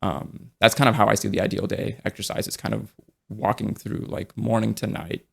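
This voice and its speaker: A young man with a low, gravelly voice talks loosely on a podcast. He rambles a little, leaning on fillers and restarting his sentences as he thinks aloud. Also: steady tone, moderate pace. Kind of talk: casual podcast conversation.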